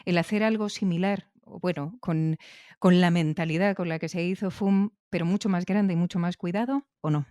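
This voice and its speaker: A man's voice: high voice, steady tone, moderate pace, a little mellow, clear, resonant tone, no echo, studio quality. A man with an accent from Spain, asking questions as a podcast interviewer.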